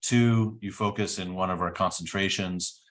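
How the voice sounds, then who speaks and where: A man's voice: low voice, steady tone, moderate pace, a bit bright. A middle-aged man giving an informational presentation about a study program, explaining step by step with frequent fillers. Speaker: program director.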